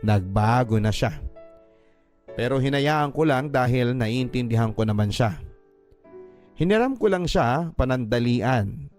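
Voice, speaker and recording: A man's voice: medium pitch, expressive, unhurried, long pauses, a little mellow. A man reading a listener's letter aloud in the first person as a radio drama narrator, earnest and heartfelt. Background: faint background music.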